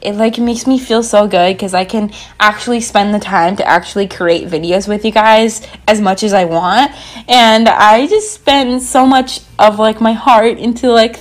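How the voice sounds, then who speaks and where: A young woman gaming creator doing a casual, bubbly, rambling voiceover with a slightly sore throat. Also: medium pitch. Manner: bubbly, rambling, frequent "like" and asides. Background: background music.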